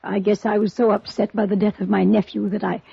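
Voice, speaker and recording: Old wealthy matriarch, theatrical, imperious, gravelly fry, very husky, vintage radio acting, dry muffled recording. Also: female voice, mid-range voice, flat delivery, warm tone, dry recording, heavily acted. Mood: shocked, troubled. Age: older. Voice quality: very husky, creaky. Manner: grand, theatrical, deliberate. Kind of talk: 1950s radio drama acting.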